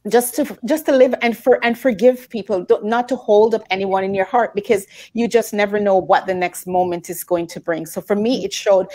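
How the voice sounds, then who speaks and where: A woman's voice: medium pitch, darkish tone, no room sound. Earnest woman panel guest, dry sound. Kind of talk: casual conversational panel talk.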